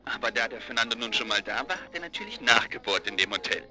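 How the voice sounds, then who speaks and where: A man's voice: medium pitch, expressive, fast talker, bright, forceful tone. An actor playing a chatty, eager male investigator reporting his findings in a naturalistic radio drama. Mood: eager, informative.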